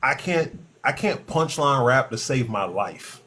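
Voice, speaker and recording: A man's voice: medium pitch, animated, slow pace, sharp tone. Laid-back man in his thirties. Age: 30s. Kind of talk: casual podcast chat.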